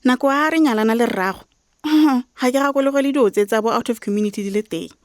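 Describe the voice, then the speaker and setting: A woman's voice: a little high, rapid-fire, no room sound, studio quality, lightly acted. An actress playing a traditional, sceptical middle-aged mother with a Botswana accent in a radio drama. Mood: doubtful, puzzled. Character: traditional, doubtful older mother puzzled by modern ways.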